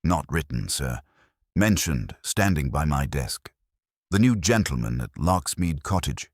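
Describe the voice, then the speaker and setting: A man's voice: a bass voice, sing-song, a little slow, dry recording, clean studio sound, exaggerated voice. A man voicing a deferential, fussy council clerk who speaks carefully and primly.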